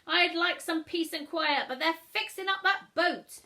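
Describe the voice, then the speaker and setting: Woman doing a grumpy, high-pitched complaining man. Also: a little slow, sharp tone, full character voice. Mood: irritated. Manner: cross, emphatic.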